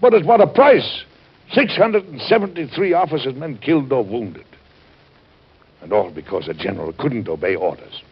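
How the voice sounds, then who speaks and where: Stern middle-aged president, husky, formal, measured, weary and principled, dull vintage radio recording. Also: male voice, medium pitch, lively intonation. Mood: stern, troubled. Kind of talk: historical radio drama acting.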